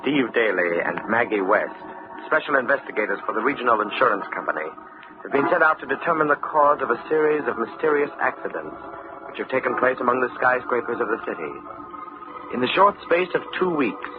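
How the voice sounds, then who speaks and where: A man narrating a radio drama in a dramatic, scripted announcer style. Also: lowish voice. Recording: dull, noisy vintage radio recording.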